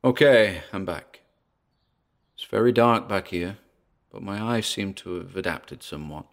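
A man playing an uneasy paranormal investigator in an audio drama, muttering hesitant observations onto tape as he explores, with a gravelly voice. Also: deep voice, a little slow. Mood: cautious. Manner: hesitant, muttering observations with sighs and trailing asides. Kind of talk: audio drama found-recording monologue.

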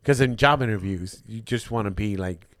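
A man co-hosting a podcast, telling profane stories in casual banter and bursting into loud laughter. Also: fairly low pitch, moderate pace, no room sound. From United States. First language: English. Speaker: podcast co-host.

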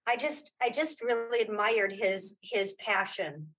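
A middle-aged woman chatting casually as an interview guest, telling anecdotes in an animated, emphatic way and searching aloud for names. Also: mid-range voice, measured pace, low-quality recording. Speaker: interview guest, writer and director.

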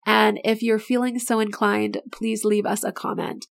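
A middle-aged woman hosting her own podcast, chatting in an enthusiastic, motivational way, her voice a bit raspy and tired. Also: mid-range voice, little pitch movement, normal speed. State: voice getting raspy, not feeling great. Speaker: podcast host and business owner. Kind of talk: casual solo podcast talk.

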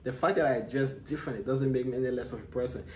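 A man from Cameroon talks to his podcast listeners in an earnest, motivational tone, often restarting his sentences. His voice sits at a medium pitch and carries a noticeable room echo. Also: quick pace, a little hiss or hum. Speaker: podcast host. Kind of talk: solo podcast monologue.

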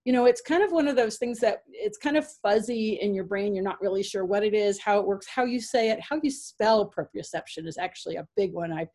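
A woman's voice: medium pitch, brisk, hardly any pauses, a little mellow. An American woman from Connecticut hosting a webinar, talking in a chatty, enthusiastic, casual way. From Connecticut, United States. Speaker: webinar host, riding clinician. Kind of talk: casual introduction and interview chat.

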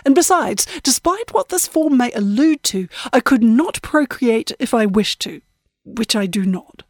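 A woman's voice: a little high, animated, measured pace, dry recording, clean studio sound. A woman voicing a prim, imperious old woman who speaks formally and precisely in an audiobook. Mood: indignant, prim.

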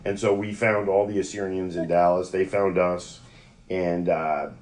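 Middle-aged American man, low register, interview guest spinning rambling personal anecdotes conversationally. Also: flat delivery, a little slow. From United States (Yonkers, New York). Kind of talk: conversational oral-history storytelling.

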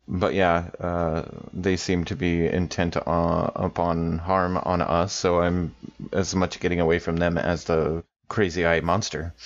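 A man's voice: deep voice, dull audio. A man player in a tabletop game, talking casually with a wry, hesitant manner. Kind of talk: casual play talk.

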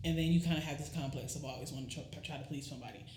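A woman's voice: low voice, racing, darkish tone, slight background noise. Emphatic woman in a roomy echo. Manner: emphatic, repeats key phrases. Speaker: podcast co-host. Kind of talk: casual chat.

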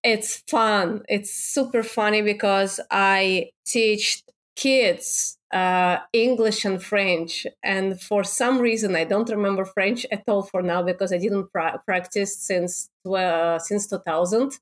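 A woman from Russia with a Russian accent, speaking halting non-native English as a guest in a conversational interview. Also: medium pitch, steady tone. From Moscow, Russia. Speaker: podcast guest, business coach.

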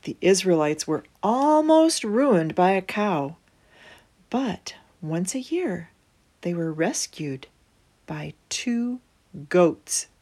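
A woman reading a children's storybook aloud as host, with deliberate phrasing and emphatic pauses. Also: fairly low pitch, sing-song, dark tone.

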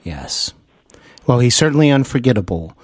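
A man's voice: medium pitch, very animated, moderate pace, husky, no room sound. A man hosting a conversational podcast interview, effusive and wordy, feeling his way into each question. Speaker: podcast host interviewing a guest.

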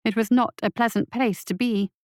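A woman's voice: a little high, fairly even intonation, normal speed, a little mellow, no room sound. Woman narrating fiction, dry close sound. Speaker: story narrator.

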